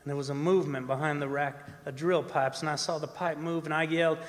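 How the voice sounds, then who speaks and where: An actor playing a haunted, defensive oil rig worker in a live radio drama, telling his eerie tale in a folksy, halting way. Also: on the high side, fairly even intonation, close to an everyday voice. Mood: hushed, eerie. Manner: folksy, halting, repeating words for suspense. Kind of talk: live radio drama acting, first-person horror narration. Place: performing arts center stage. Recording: stage performance in reverberant hall, music behind.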